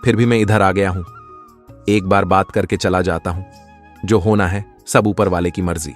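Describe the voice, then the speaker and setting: A worried village farmer played by a man speaks in a fairly low voice. He is a troubled, suspicious father from an animated moral tale, heard over faint music. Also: moderate pace, natural voice. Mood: doubtful. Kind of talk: animated moral story voice acting.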